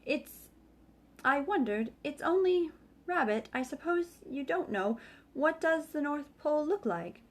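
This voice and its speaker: A woman's voice: sits high, animated, a little slow, voice pushed up. A woman voicing an earnest, kind young boy who leads his animal friends.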